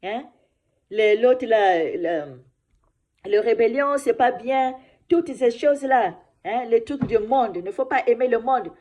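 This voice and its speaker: A woman preaching an informal sermon, often adding "hein" and addressing her brothers and sisters, slowing down to read scripture; French is not her mother tongue. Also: on the high side, muffled recording. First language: another mother tongue. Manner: repeats "mes frères et sœurs" and "hein" often; slows down for scripture reading.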